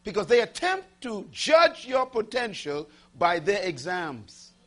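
A man preaching with a high, husky voice that leaps up and down in pitch. He fires questions at the crowd, hammers his points by repeating them, and drops to a near hush before surging back loud. A faint hum sits under the recording. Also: big pitch swings, slow. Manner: dramatic swings between loud and hushed, call-and-response questions; emphatic, repeating key phrases. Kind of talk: motivational sermon to a live audience.

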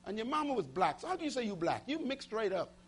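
A man preaching a motivational sermon to a live audience, firing rhetorical questions, repeating key lines and swinging from loud bursts to hushed asides. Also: sits high, big pitch swings, quick pace. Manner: dramatic swings between loud and hushed, call-and-response questions; emphatic, repeating key phrases.

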